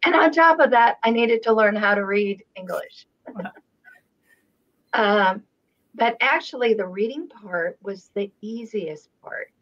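A woman who grew up in Israel with Hebrew as her first language, hosting a relaxed video call chat and speaking slowly with many pauses. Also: medium pitch. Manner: unhurried, with many pauses and fillers. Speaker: channel host. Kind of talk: casual video call conversation. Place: home room with framed painting. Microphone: video call webcam. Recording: dull lo-fi video call audio.

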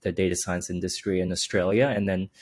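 A young man hosting an interview over a video call, asking measured, polite questions into a desk microphone. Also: sits low, little pitch movement. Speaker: channel host, data scientist. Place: home room, indoors.

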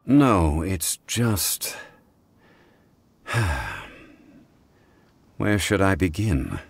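An actor playing a calm, learned, dignified male consultant in a game, speaking in a measured, formal way. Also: deep voice, vocal fry, slightly rough, heavily acted. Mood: composed.

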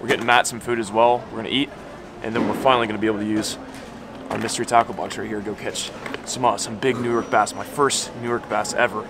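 A man's voice: lowish voice, flat delivery, fast talker, background noise. A young American man chatting casually to camera as a fishing vlogger, in informal slangy talk. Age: young adult. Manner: informal, slangy, bro-heavy. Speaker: fishing video host. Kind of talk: casual vlog chat to camera. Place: outdoors by water, on a boat and in transit.

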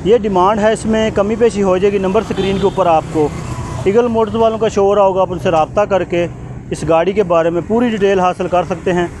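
A man from Pakistan hosting a used-car video, giving brisk, repetitive salesman patter as he walks around cars at an outdoor roadside bazaar. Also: sits high, steady tone. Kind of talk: walkaround car sales commentary. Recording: noisy street outdoors.

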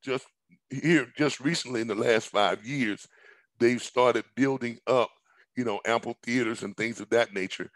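A hoarse middle-aged man giving a rambling business pitch over a headset on a video call from home, with frequent ums, repeated words and coughs. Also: unhurried, fairly bright tone, no room sound, rough recording. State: hoarse, recovering from illness. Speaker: business presenter. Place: indoors at home, large room with staircase.

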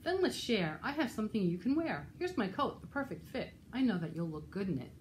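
A woman's voice: medium pitch, very animated, a little fast, a little hiss or hum, close to an everyday voice. A woman voicing eager, helpful young children from a storybook, exclaiming in a rhyming lilt. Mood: generous.

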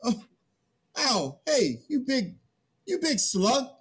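A middle-aged man playing a cocky, whiny, childish new human in a play reading, with a husky voice. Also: very high voice, big pitch swings, takes it slowly, exaggerated voice. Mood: petulant.

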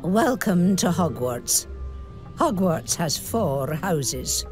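Slow, dignified British schoolmistress. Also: female voice, on the low side, very animated, music in the background, heavily acted. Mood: formal, dignified. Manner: formal, precise. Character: stern, dignified older witch schoolteacher.

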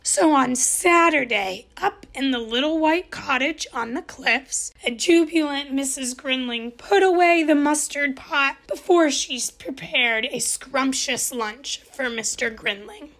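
A woman reads a children's picture book aloud at story time. Her voice sits high, and she takes an unhurried pace. She stretches key words gently for young listeners. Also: low-quality recording. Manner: gentle, expressive storybook reading with slow emphasis. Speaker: storytime reader.

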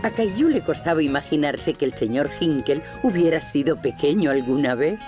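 A woman narrates a children's story in Castilian Spanish. Her voice sits low for a woman and moves expressively, with music clearly behind it. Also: lively intonation, normal speed.

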